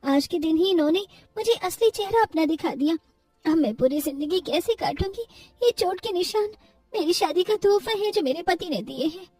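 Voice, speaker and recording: ऊँची आवाज़ वाली भोली, दुखियारी युवा पत्नी महिला कलाकार. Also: female voice, very high voice, expressive, moderate pace, darkish tone, recorded from a distance, faint music behind. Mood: hurt, tearful. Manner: pleading, earnest. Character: meek, suffering young wife and devoted mother.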